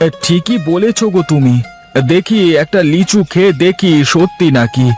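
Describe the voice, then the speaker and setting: A man's voice: high-pitched, lively intonation, moderate pace, a little mellow, soft background music. A man playing a poor, humble village father in a cartoon fairy tale, speaking earnestly with a plaintive edge. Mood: amazed.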